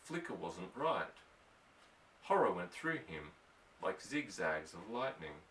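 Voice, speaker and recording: A man's voice: deep voice, big pitch swings, slow pace, fairly bright tone, slight background noise. A man reading a novel aloud as scripted literary narration. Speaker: audiobook narrator. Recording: roomy echo, distant from microphone.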